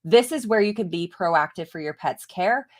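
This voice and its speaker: A woman's voice: on the low side, lively intonation, normal speed. Congested woman veterinarian chatting live. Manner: chatty, explanatory, frequent "like" and "right?". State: congested from a chest cold. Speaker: holistic veterinarian. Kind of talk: live-streamed educational talk.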